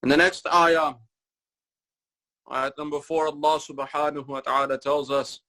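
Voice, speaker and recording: A man giving a Ramadan lecture in a mosque, speaking in measured, repeated phrases and reciting Quranic Arabic between his English explanations. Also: a little high, level tone, bright, forceful tone. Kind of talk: sermon-style religious lecture.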